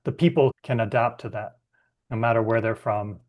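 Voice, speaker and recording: Male podcast host, affirming and asking open questions, mid-pitched. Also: normal speed, lo-fi sound. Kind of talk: podcast interview.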